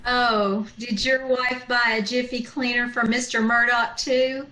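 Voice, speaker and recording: A wry schoolteacher in a radio comedy, acted by a woman. Her voice is on the high side and comes with a clear room echo. She tosses out dry, sardonic wisecracks. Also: flat delivery, a little slow, sharp tone. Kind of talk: old-time radio sitcom acting. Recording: roomy, echoey video-call recording.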